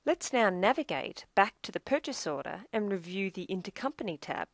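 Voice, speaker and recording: A woman narrating a scripted software tutorial as demo presenter, in a measured, explanatory way. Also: mid-range voice, sing-song, no echo, studio quality.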